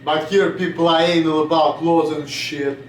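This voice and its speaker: A man's voice: a little high, level tone, normal speed, far from the mic, slight background noise. Rambling Russian-accented young man, roomy echo. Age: 20s. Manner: blunt, swearing, drifts off topic. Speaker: vlogger. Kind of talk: rambling casual monologue.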